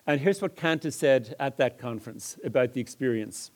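A man delivers a public lecture in a medium-pitched voice. He explains his examples in a measured way, pausing and hesitating as he goes. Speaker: lecturer on multilingual education.

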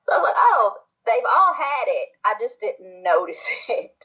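A woman's voice: on the high side, sing-song, moderate pace, pushed and bright. A woman being interviewed as an author, telling her story in a rambling, laughing, casual way. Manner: rambling, laughs often, fillers like "like". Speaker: author guest. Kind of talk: casual podcast chat. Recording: slightly dull lo-fi audio.

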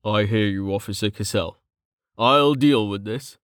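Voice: measured pace, smooth, no echo, clean studio sound, close to an everyday voice. A narrator voicing a stern male officer and fire mage with cold authority and clipped contempt, in a fantasy audiobook. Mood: calm, stern. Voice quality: deep. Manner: calm authority turning to snapping contempt.

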